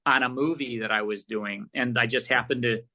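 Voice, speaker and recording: A man's voice: mid-range voice, fairly even intonation, normal speed, bright, forceful tone. A middle-aged American man answering casually as an interview guest, earnest and emphatic, often repeating his phrases. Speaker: podcast guest, radio host and producer.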